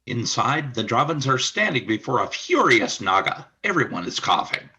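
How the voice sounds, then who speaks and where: A man's voice: medium pitch, sing-song, normal speed, sharp tone. A man reading scripted narration for a radio play as its narrator.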